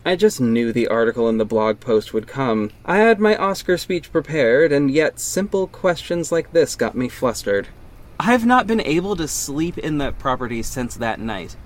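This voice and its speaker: A man's voice: medium pitch, very animated, a little slow, slight background noise. A man playing a wealthy orphaned young hero in an audio drama, guarded and earnest, with dry sarcasm and a defensive edge. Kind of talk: first-person audio drama narration and dialogue.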